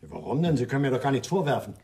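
A man's voice: medium pitch, big pitch swings, racing, mellow. A man playing a cheeky, indignant career criminal in a German radio crime drama. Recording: roomy, noisy radio play recording.

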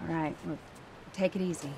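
A woman's voice: low voice, steady tone, fairly quick, mellow, slight background noise. Commanding woman, tough settlement leader. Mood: businesslike. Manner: commanding, plain-spoken. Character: tough, guarded woman leading a survivor settlement. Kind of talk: naturalistic video game acting.

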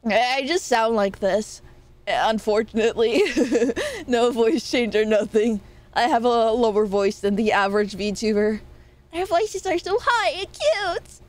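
A woman's voice: a little high, lively intonation. A young woman streamer with a nasal voice, chatting casually and playfully with her viewers. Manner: rambling, playful, quick laughs and swearing. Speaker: VTuber streamer.

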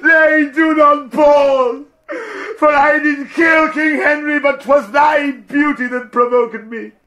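A man's voice: very high voice, fairly even intonation, slow pace, sharp tone, heavily acted. An actor playing a scheming, silver-tongued nobleman who fervently woos a widow in theatrical Shakespearean verse. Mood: frenzied, pleading. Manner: theatrical, declamatory, insinuating. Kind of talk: classical Shakespearean stage-style verse acting.

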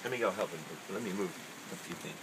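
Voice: low voice, quick pace, crowd noise. A person making casual, admiring commentary outdoors in a group, with ambience around. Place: outdoor yard at night. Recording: noisy, distant from mic outdoors.

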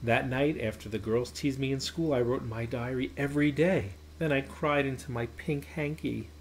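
A man reading a children's picture book aloud in an expressive, emphatic way. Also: mid-range voice, normal speed, slight background noise. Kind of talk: children's storybook read-aloud. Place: small indoor playroom.

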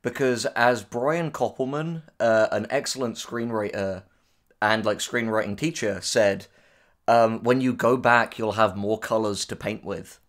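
A man's voice: fairly low pitch, fairly even intonation, measured pace, fairly bright tone. A man co-hosting a casual, opinionated discussion, talking emphatically and freely swearing. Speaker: writer co-hosting a reaction discussion.

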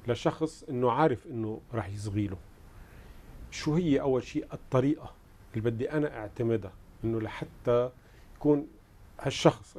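A Lebanese man in his sixties hosts a studio interview. His voice is mellow and mid-pitched, and he builds his questions in halting short phrases. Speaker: host. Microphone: lapel mic.

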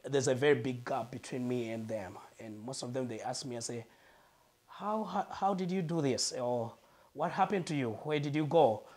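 A Malawian man, a guest on a lapel mic in a living room, earnestly telling his life story and pausing to search for words. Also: medium pitch, darkish tone.

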